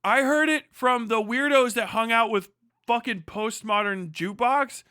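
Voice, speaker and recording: A man's voice: very high-pitched, moderate pace, bright, forceful tone, smooth, no echo, studio quality. A man hosting a livestream, rambling casually with plenty of swearing and joking, exaggerated rants. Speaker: livestream host.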